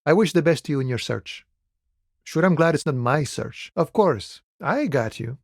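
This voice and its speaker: An actor playing an easygoing, philosophical dock worker with cowboy airs in a video game, musing in an unhurried, folksy way. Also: male voice, fairly high pitch, expressive, vocal fry, no echo, studio quality. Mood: easygoing, wry. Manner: unhurried, folksy musing, calls people friend and boya. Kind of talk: video game character dialogue.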